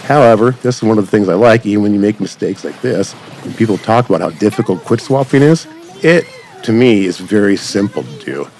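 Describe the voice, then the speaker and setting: A man's voice: on the low side, animated, fairly quick, a little mellow, slight background noise. A man giving casual, unscripted commentary as host of a gaming channel, rambling along in long run-on sentences. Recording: music over the voice. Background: music.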